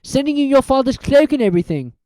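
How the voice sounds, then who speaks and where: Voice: high voice, sing-song, fast, dark tone, no echo, pitched above the performer's own voice. A reader voicing a cheerful, loyal schoolboy who talks eagerly and a bit boastfully.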